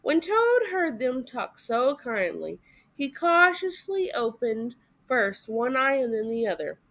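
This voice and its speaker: A woman reading a story aloud as expressive narration for children. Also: sits high, takes it slowly. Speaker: story reader.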